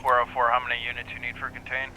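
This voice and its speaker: A man making businesslike police radio calls in roleplay, pausing as he goes. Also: mid-range voice, monotone. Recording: radio chatter over faint game noise.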